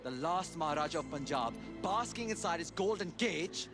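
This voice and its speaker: An actor playing an impassioned independence crusader, formal, intense and reproachful. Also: male voice, on the high side, lively intonation, normal speed, over music.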